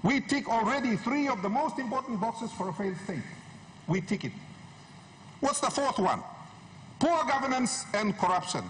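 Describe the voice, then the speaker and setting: A man's voice: very high voice, lively intonation, normal speed, a bit bright. A middle-aged man giving an impassioned, emphatic speech as a member of parliament, using rhetorical repetition. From Papua New Guinea. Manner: emphatic, rhetorical, repeats phrases for effect. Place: large wood-panelled parliament chamber. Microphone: desk gooseneck microphone, standing. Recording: noisy parliament chamber microphone.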